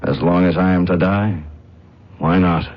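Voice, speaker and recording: A condemned prisoner in a radio play, real low voice, sardonic n proud, muffled old radio sound. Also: male voice, fairly even intonation, unhurried. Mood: wry, wary. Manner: insolent, wry, formal speech. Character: defiant condemned male prisoner, sardonic, proud, world-weary. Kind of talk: radio drama acting. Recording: dull, noisy old radio broadcast.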